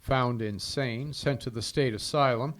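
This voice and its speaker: An actor playing a brisk, wry private detective in a 1940s radio drama, clipped and businesslike. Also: male voice, medium pitch, natural voice. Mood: wry, tense.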